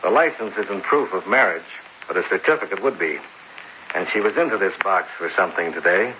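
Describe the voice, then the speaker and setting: An actor playing a hard-boiled insurance investigator who narrates a 1950s radio drama in a dry, clipped way. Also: male voice, deep voice, animated, a little fast. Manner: dry, clipped, matter-of-fact. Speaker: narrator and lead. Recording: hissy, dull old radio broadcast.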